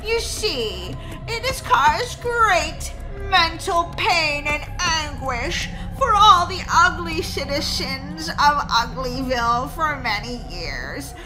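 A woman voicing an elderly male mad scientist in a children's game story, smug, sinister, slow and condescending. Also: sits high, expressive, poor audio. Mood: ominous. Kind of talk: children's Roblox roleplay story. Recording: background music under the voice.

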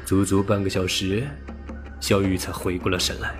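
Male narrator, lowish pitch, scripted science fiction audiobook, dramatic storytelling, music behind. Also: little pitch movement, moderate pace.

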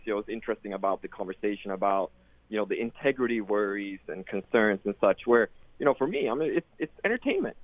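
A man's voice: mid-range voice, no echo. Man from Sweden on a tinny line. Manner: rambling, many fillers and restarts. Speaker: guest, sports data product manager. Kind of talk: casual interview over a call. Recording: tinny phone-like line, dry.

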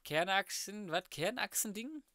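A man's voice: sits high, animated, measured pace, pushed and bright, dry recording, studio quality. A man giving casual let's play commentary as a gaming host, talking to himself in flippant, colloquial slang. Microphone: close microphone, voice-over.

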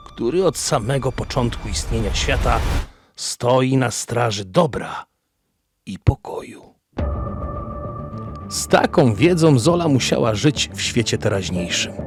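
A man narrating a scripted radio drama as a storyteller, in measured phrases. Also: medium pitch.

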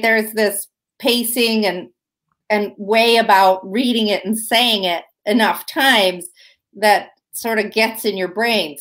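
A woman's voice: medium pitch, fairly bright tone. A middle-aged woman leading an online writing workshop, talking in a chatty way with many fillers and restarts, over a webcam. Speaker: writing instructor. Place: room at home. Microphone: webcam video call.

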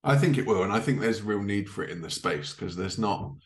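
Low-voiced man hosting a casual interview. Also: animated, brisk. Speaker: podcast host. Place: living room, indoors, on a video call.

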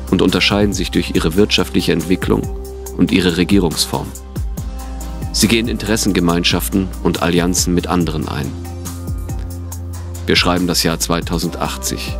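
A male narrator with a very low voice tells a dramatic audio play story. His delivery is measured and grave, and music plays clearly underneath.

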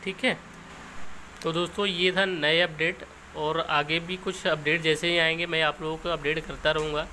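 Male explainer host, slightly high pitch, informal step-by-step delivery, faint background noise. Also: little pitch movement, moderate pace, pushed and bright, poor audio.

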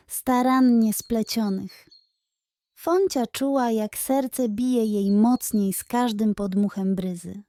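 Slow, dry-sounding woman storyteller. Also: on the high side, dark tone, no echo. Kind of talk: scripted narration of a children's bedtime story.

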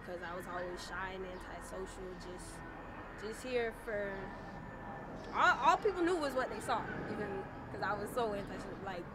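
A soft-voiced young woman in her twenties chats casually in an interview, leaning on laid-back slang and filler tags. She is recorded outdoors by a parked car. Clear street noise hums behind her. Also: mid-range voice, animated, normal speed. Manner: soft-spoken, laid-back slang, frequent "you know what I'm saying". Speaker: rapper.

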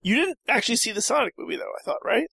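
Male panelist, highish and very bright voice, conversational, close dry sound. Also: sing-song, racing, vocal fry, breathy edge, dry recording. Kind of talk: casual discussion.

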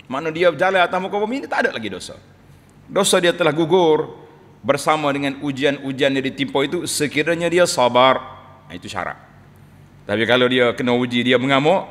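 A middle-aged man with a Kelantan Malay accent, giving a Friday sermon from a mosque pulpit and swinging between loud rises and low, quiet drops. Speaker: preacher. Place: large mosque hall, indoors. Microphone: pulpit microphone on a stand. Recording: long reverb from a large mosque hall.

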